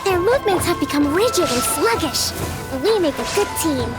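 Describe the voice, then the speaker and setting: A woman playing a gentle, courteous young leader and strategist in a video game, speaking in a measured, formal, articulate way. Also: very high-pitched, very animated. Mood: composed, earnest. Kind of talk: video game character acting. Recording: game music behind the voice.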